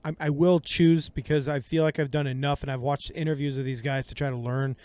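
A man's voice: mid-range voice, flat delivery, fairly quick, darkish tone, smooth, dry recording. Talkative analytical male co-host. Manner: talkative, analytical, laughs easily. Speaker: podcast co-host. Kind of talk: casual sports analysis.

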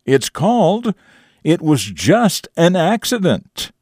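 A man hosting morning radio and narrating stories in an expressive, folksy, emphatic manner. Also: a little high, slow, breathy edge, no echo, clean studio sound. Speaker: radio show host and storyteller. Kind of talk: morning radio talk and dramatic story narration.

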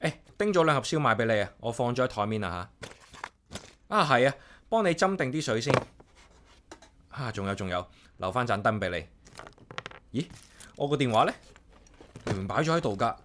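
An actor playing a solitary, caring young office worker with a Hong Kong accent, narrating and chatting casually in a radio drama. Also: male voice, mid-range voice, big pitch swings, normal speed, pauses often, background noise, close to an everyday voice. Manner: casual, talks playfully to himself and pet. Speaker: lead character and narrator. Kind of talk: radio drama dialogue and inner-monologue narration.

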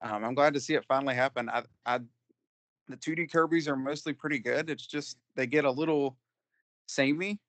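A man's voice: medium pitch, steady tone, a little fast, a bit bright, no room sound. A man co-hosting a casual podcast chat, speaking hesitantly with frequent restarts and fillers. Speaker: podcast co-host.

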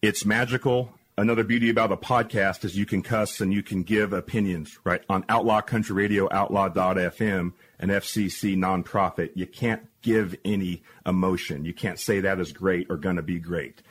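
Podcast host, male, lowish voice, deliberate pacing. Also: fairly even intonation, breathy edge. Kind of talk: podcast interview.

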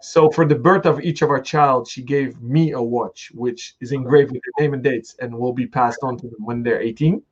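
Relaxed man hosting an interview. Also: medium pitch, normal speed, hardly any pauses, muffled recording. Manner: relaxed, rambling with restarts and fillers. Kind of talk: casual interview conversation.

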